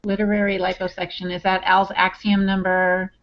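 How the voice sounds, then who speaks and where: A woman's voice: medium pitch, completely flat delivery, moderate pace. Woman chatting, slightly dull audio. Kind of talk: casual chat.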